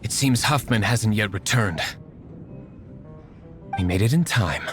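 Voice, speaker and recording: A man's voice: lowish voice, animated, a little slow. Aloof, curt young nobleman. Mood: brisk. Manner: curt, cool, formal. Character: aloof, curt young nobleman, secret vigilante, tending bar. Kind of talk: video game voice acting. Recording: music behind the voice.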